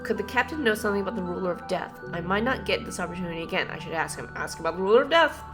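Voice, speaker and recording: A woman's voice: lowish voice, expressive, very fast. A woman streaming a video game, reacting casually and expressively as she plays. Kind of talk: casual live gameplay reaction. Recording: game music behind the voice.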